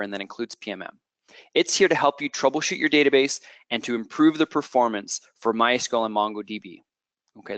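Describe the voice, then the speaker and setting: A Canadian man in his thirties gives a product presentation as a product manager. He explains at length, often restarting sentences and pausing to ask "okay". His mid-pitched voice sits close to the mic in a dry, dead space. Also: a bit bright, no room sound. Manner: explanatory, frequent fillers and restarts, checks in with okay.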